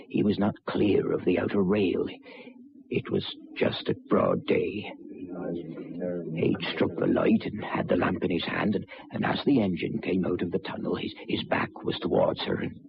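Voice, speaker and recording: An actor playing a solemn, respectful railway working man in an old-time radio drama, halting and deferential, with a gravelly voice. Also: low voice. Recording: dull vintage radio, faint noise.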